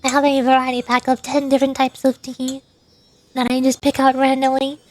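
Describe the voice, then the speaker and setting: A woman's voice: high voice, completely flat delivery, brisk, darkish tone. A woman livestreaming a game, chatting casually with viewers and tripping over hard words as she reads aloud. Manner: chatty, stumbles over hard names, reading chat aloud. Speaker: VTuber game streamer. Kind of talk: casual livestream chat while gaming. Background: music.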